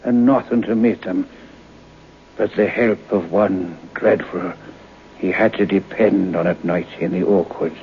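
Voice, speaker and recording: An actor playing a storyteller who tells an eerie family tale in a folksy, suspenseful way for old-time radio. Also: male voice, low voice, steady tone, unhurried. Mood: ominous. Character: Irish storyteller recalling his grandfather's eerie tale. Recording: dull old radio recording, faint noise.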